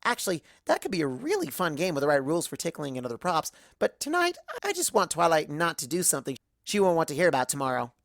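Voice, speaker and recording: A woman voicing a perky, excitable, party-loving young female cartoon pony who narrates her own story. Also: sits low, fast talker, hardly any pauses, no room sound, studio quality, full character voice. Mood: chatty. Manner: perky, excitable, big swoops. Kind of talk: first-person fan fiction narration in character.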